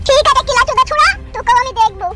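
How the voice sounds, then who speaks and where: A woman's voice: very high voice, rapid-fire. A woman voicing a scorned, vengeful, foul-mouthed village mistress in a cartoon melodrama. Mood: vengeful, furious. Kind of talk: amateur Bengali cartoon melodrama. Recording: loud music under the voice.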